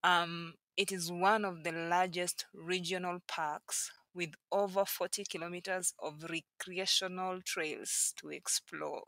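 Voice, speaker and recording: A woman hosting her channel, narrating a hiking video with a slow, careful delivery, pausing with fillers. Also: on the low side, fairly even intonation, fairly bright tone, no room sound. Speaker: channel host.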